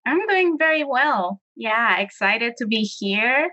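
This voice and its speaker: A woman's voice: a little high, expressive, slow, pushed and bright. A woman in her late thirties from Colombia with a Colombian Spanish accent, a Spanish speaker answering interview questions in a thoughtful way and restarting as she searches for words. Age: late 30s. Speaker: guest.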